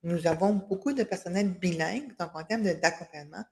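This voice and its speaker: A woman's voice: lowish voice, brisk, a little mellow. A woman hosting an online workshop over a video call, speaking with frequent fillers and restarts. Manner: hesitant, many fillers and restarts. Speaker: webinar host for a women's enterprise organization. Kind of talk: closing remarks of an online workshop.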